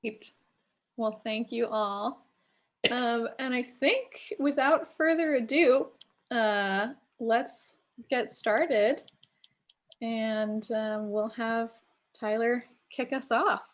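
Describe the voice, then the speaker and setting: A woman hosting an online community event with an informal welcome, speaking in a somewhat halting way. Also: a little high. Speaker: host, assistant library director.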